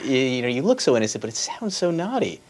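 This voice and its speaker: A man's voice: medium pitch, very animated, fast, a little mellow. A man asking questions as an interviewer, conversational and teasing. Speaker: interviewer, reporter.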